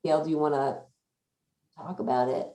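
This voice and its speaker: A woman leading an informal book discussion, thinking aloud in a halting way. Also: low voice, steady tone, mellow. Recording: echoey room sound.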